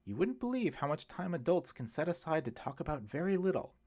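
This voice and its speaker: A man's voice: a little high, very animated, brisk, voice pushed up. Man playing a genial, wordy older priest. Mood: wry, conspiratorial. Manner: wordy, wry, gently eloquent. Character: genial older male priest, wry ex-hippie.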